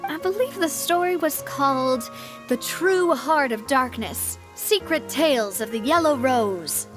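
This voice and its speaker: A woman playing a confident, breezy young lady boss in a video game, self-assured, expressive and playful. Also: high-pitched, a little slow, music in the background. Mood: fond, chatty.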